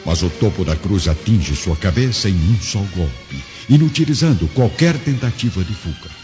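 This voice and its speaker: Very deep, theatrical male narrator. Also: sing-song, normal speed, dull audio. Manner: solemn, theatrical, emphatic. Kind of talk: dramatic scripted radio drama narration. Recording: band-limited sound, loud music underneath.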